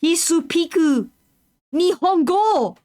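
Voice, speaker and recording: A woman's voice: very high voice, lively intonation, slow, warm tone, no room sound, studio quality. A woman streamer reading a game's dialogue aloud, with playful, giggly asides over game music. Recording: game music playing behind.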